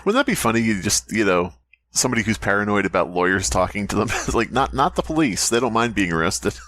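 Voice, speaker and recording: A man's voice: on the low side, very animated, quick pace, a bit bright. A man co-hosting a podcast in casual comedic banter, sarcastic and freely swearing. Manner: sarcastic, profane. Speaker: podcast co-host.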